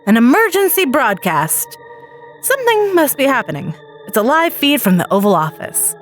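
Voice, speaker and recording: A woman with a fairly high voice narrates a story in the first person. She tells it dramatically, as a storyteller, with music playing underneath. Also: sing-song, moderate pace.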